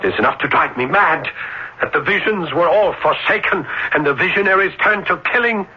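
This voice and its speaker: A man's voice: high-pitched, lively intonation, a little fast, slightly rough. A man playing a lonely, nervous young idealist scholar in old-time radio drama, stammering and agitated. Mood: impassioned. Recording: muffled, noisy old radio broadcast.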